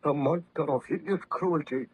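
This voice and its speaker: A man's voice: on the high side, moderate pace, slightly rough. An actor playing an anguished, guilt-ridden nobleman scientist in an old-time radio horror drama, speaking in formal, fervent melodrama. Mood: anguished, urgent. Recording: vintage radio drama recording, slightly muffled.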